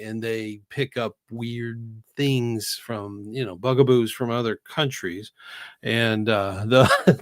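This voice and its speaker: A man's voice: medium pitch, dry recording, clean studio sound. A middle-aged man hosting a casual live video-call chat, recovering from a cold, rambling and laughing often. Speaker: host.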